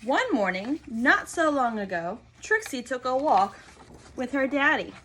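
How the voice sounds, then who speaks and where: A woman reads a picture book aloud to young children in a fairly high voice with lively intonation. She takes her time and prompts her young listeners with questions. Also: measured pace, slight background noise.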